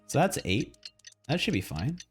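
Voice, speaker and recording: A man's voice: mid-range voice, very animated, normal speed, loud music behind the voice. A man streaming a game, giving casual, rambling live reactions and commentary.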